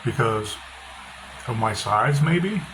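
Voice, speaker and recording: A middle-aged author talking casually with a loud, bass-heavy voice, blunt and opinionated, often repeating himself. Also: male voice, expressive, slow pace. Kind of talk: casual monologue. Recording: noisy background hiss.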